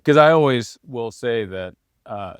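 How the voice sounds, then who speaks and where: A man's voice: medium pitch, animated, unhurried, clean tone, no room sound. A middle-aged American man hosting a casual conversational interview. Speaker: podcast host, interviewer.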